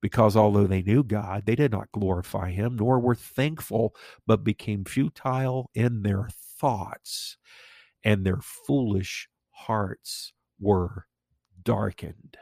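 A man hosting a podcast, preaching in a slow, emphatic, declarative way, his voice congested and slightly nasal from allergies. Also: on the low side, steady tone, creaky, darkish tone, no room sound, studio quality. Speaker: podcast host.

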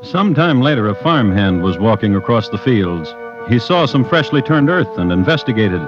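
A man narrating an old-time radio crime drama with deliberate, theatrical storytelling. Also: lowish voice, sing-song, music in the background. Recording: muffled vintage radio broadcast.

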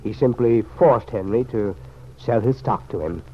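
An actor playing a grave, regretful man in an old-time radio serial, speaking in an earnest, measured way. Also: on the low side, lightly acted. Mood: somber. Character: grave, regretful man, troubled family friend. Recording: muffled, noisy old radio recording.

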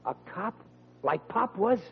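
A man's voice: high-pitched, sing-song, unhurried, creaky, exaggerated voice. An actor playing an earnest, dim-witted young man with a naive manner in an old-time radio comedy. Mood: naive, eager. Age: young adult. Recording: tinny vintage radio recording with hum.

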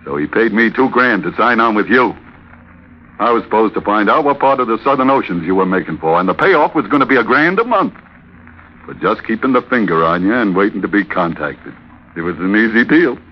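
Man acting a low-voiced sly sailor schemer. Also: expressive, brisk, slight background noise, lightly acted. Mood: cocky, sly. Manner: cocky, insinuating, easygoing. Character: breezy, sly scheming sailor angling for a berth. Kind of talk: old-time radio drama dialogue. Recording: dull vintage radio recording.

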